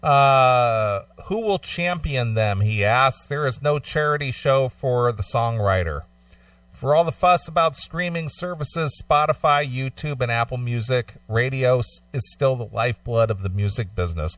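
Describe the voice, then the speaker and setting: Male talk radio host, blunt and conversational, mid-pitched, dry sound. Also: lively intonation, normal speed, a bit bright, dry recording. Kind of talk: casual radio talk, reading an article aloud. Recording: slightly distant microphone, dry sound.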